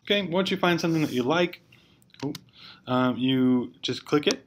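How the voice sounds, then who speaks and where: A man giving an informal, step-by-step software tutorial in a hesitant, explanatory way. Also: on the high side, normal speed, loud music behind the voice. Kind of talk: informal screencast walkthrough. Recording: clear background noise, amateur screencast.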